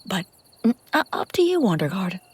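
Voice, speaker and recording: A woman's voice: medium pitch, sing-song, normal speed, breathy edge, no echo. A woman playing a brusque, sardonic but secretly caring female bounty hunter in a scripted fantasy audio roleplay, speaking straight to the listener. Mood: sardonic, mocking. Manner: sarcastic, teasing, often dropping to hushed urgency.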